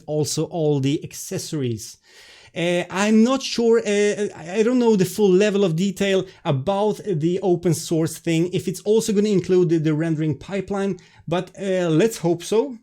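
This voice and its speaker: A man's voice: high-pitched, mellow. A man with a Swedish accent, chatting on a live stream through a webcam, flustered and apologetic, stumbling over restarts and fillers. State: stressed. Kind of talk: casual live stream chat.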